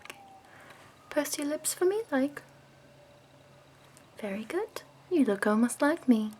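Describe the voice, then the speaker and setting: A woman voicing a prim, chipper escort who does your makeup, speaking softly in a half-whisper with fussy, doting praise. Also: high-pitched, expressive, slight background noise. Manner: soft-spoken, half-whispered and slow. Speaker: ASMR roleplay performer. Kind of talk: soft-spoken ASMR roleplay.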